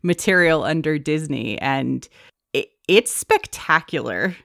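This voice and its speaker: A woman's voice: deep voice, expressive, a little slow, vocal fry, a bit bright, dry recording, clean studio sound. A woman hosting a podcast, interviewing a guest in a conversational way. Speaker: podcast host.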